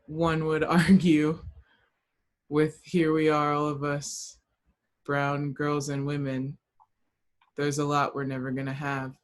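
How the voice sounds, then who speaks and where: A woman's voice: deep voice, monotone, takes it slowly, pauses often. A woman taking part in a book discussion, speaking in a casual, reflective way and relaying comments.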